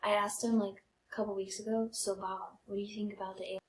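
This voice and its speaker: A woman's voice: mid-range voice, flat delivery, fast, a little hiss or hum. A young American woman chatting casually and telling personal stories as a comedy podcast co-host. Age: young adult. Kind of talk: casual chat, personal storytelling. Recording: roomy, echoey podcast sound.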